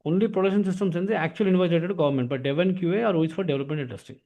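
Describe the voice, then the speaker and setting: A man's voice: high voice, rapid-fire, warm tone, muffled recording. A man with an Indian accent giving an informal explanatory lesson as an instructor, often tagging sentences with filler words. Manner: repeats filler tags like "actually".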